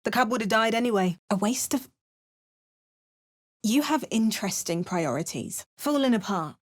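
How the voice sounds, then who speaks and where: Dry sarcastic game heroine, cautious, quick little remarks. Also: female voice, on the high side, expressive. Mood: wry. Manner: dry, sardonic asides. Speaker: player character. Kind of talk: game voice lines.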